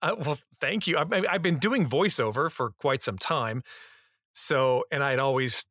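A man's voice: medium pitch, lively intonation, moderate pace, fairly bright tone. A man, a radio host and voice actor, chatting as a guest in a jokey, self-deprecating way on a shared mic. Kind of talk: casual interview chat. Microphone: shared single mic.

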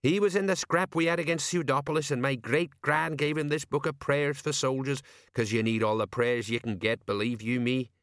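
An older police sergeant, acted out by a male audiobook reader, pitched up from the reader's own voice. He is chatty and defensive, rambling along in folksy, working-class speech. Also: fairly even intonation, normal speed, hardly any pauses, fairly bright tone, dry recording, clean studio sound, lightly acted. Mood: nostalgic.

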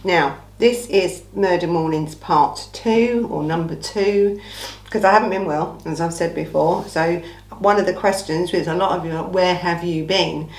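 A woman with an English accent and a slightly croaky, under-the-weather voice, hosting a casual true-crime Q&A in an informal, emphatic way with rhetorical asides. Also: on the low side, unhurried. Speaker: true-crime channel host. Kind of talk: casual chatty Q&A monologue. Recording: echoey room.

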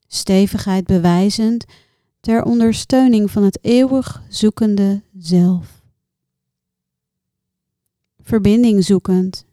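A woman reciting her own poems in a measured, contemplative way, with deliberate pauses between short phrases. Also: mid-range voice, dark tone, no room sound, studio quality. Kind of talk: poetry recitation.

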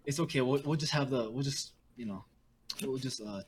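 A young man talks in a relaxed, rambling way on a casual podcast. He laughs often and drops "bro" into his sentences. The video call recording has a faint hum of background noise. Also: mid-range voice, a little fast. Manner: laid-back, laughs often, says "bro" a lot. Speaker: podcast co-host. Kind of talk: casual chat.

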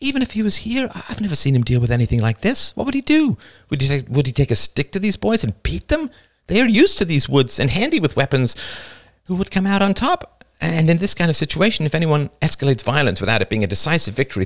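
A man's voice: fairly high pitch, big pitch swings, quick pace, voice pushed up. A frightened young girl whose thoughts tumble out in a lightly raised voice, anxious and stammering, as a male narrator reads her mind aloud. Her words trip over restarts as she weighs escape plans. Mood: worried.

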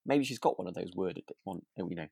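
A woman's voice: very deep voice, animated, quick pace, vocal fry, dark tone, husky, dry recording, studio quality. A British woman co-hosting a podcast, chatting casually in an agreeable, rambling, hesitant way. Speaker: podcast co-host. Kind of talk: casual chat reviewing a radio drama.

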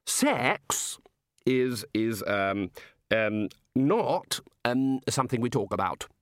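A man voicing a senior wizard leader in an audiobook, halting and politely blustering. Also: on the high side, big pitch swings, dry recording, studio quality, voice pushed up. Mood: embarrassed.

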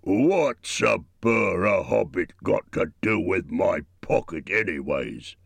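A man doing a gravelly, slow-witted troll. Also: deep voice, lively intonation, no echo, full character voice. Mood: gruff, puzzled. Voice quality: slurred coarse slang, gravelly drawl. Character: big slow-witted male troll, coarse and drowsy.

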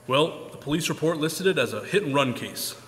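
A brisk, clinical doctor, acted by a man, talks fast in a mid-range voice. The live radio play is very echoey, recorded in a hall. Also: fairly high pitch, expressive, fairly bright tone. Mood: brisk, grave. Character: matter-of-fact, pessimistic hospital doctor. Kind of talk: live stage radio drama acting. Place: church hall stage. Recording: echoey hall, background noise.

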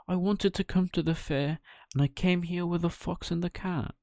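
Guy doing a high squeaky-pitched puppet boy, naive, excitable, stammery, kids storybook thing. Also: normal speed, dry recording, studio quality. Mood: sheepish confessing. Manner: hesitant, stammering when explaining. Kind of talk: children's storybook character voice.

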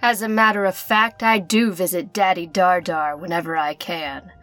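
A woman's voice: on the low side, fairly even intonation, normal speed, fairly bright tone, soft background music, lightly acted. Woman acting a sultry, teasing noir femme fatale. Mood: sinister, flirtatious. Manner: seductive, teasing. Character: sultry, wealthy femme fatale artist, flirtatious and sinister. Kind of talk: noir radio-play acting.

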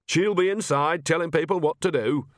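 Man putting on a higher-pitched, brash old witch. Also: moderate pace, no echo, clean studio sound, lightly acted. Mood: brisk teasing. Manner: folksy, teasing, blunt. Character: earthy, brash, shrewd old woman witch.

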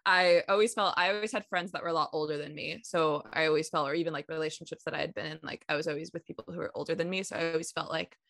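Woman, 20s, interviewer, lower voice, chatty, filler-heavy, dry close sound. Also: fairly even intonation, racing, gravelly, hardly any pauses, fairly bright tone, no room sound. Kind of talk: casual interview chat.

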